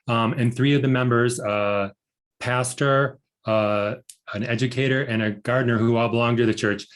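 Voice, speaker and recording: Male director, easygoing panel talk, medium voice. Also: medium pitch. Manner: relaxed, with frequent ums. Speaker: documentary director. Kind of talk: panel discussion.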